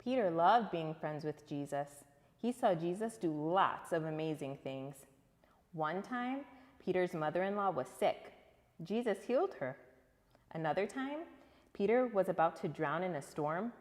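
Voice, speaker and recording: Low-pitched woman storyteller in an echoing space. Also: darkish tone. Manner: emphatic, asks listeners questions. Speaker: storyteller reading to children. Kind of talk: expressive children's read-aloud narration.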